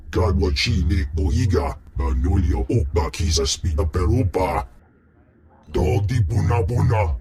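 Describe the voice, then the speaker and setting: A man voicing a huge, imperious alien crime king in a video game, pompous and booming, speaking an invented alien tongue. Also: vocal fry, exaggerated voice.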